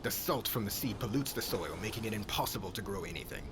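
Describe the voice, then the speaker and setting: A man playing a stern, menacing witch hunter in an ASMR roleplay, speaking formally and commandingly, often in a hushed, threatening way. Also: fairly low pitch, animated, fast, natural voice. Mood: stern, accusing. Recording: clearly noisy ambient background.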